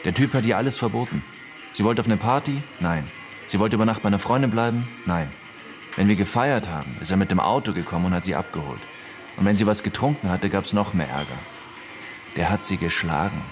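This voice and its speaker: A man's voice: fairly low pitch, fairly quick, faint music behind, lightly acted. An actor playing an evasive, irritable man under questioning in a German radio drama, talking in a curt, slangy way. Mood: irritable, accusing. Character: defensive, evasive man questioned about dead classmate.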